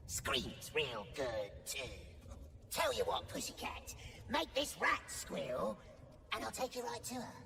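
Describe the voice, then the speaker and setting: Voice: mid-range voice, wildly swooping pitch, slow, creaky, sharp tone, slight background noise, exaggerated voice. An actor voicing a brutish goblin torturer in a video game, sneering, crude and taunting. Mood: gloating. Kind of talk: video game character acting. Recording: distant, long hall reverb, under music.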